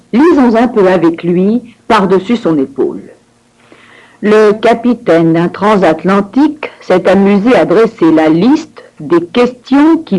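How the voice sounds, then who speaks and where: A woman reading scripted dialogue for a language course with clear, careful enunciation. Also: mid-range voice, darkish tone, low-quality recording.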